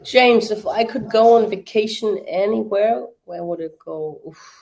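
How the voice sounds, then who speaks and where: A woman's voice: mid-range voice, a little slow, gravelly, a little mellow, poor audio. Chatty German-accented woman streaming. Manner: chatty, many fillers, answers chat. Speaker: livestream watch-party host. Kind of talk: casual livestream chat.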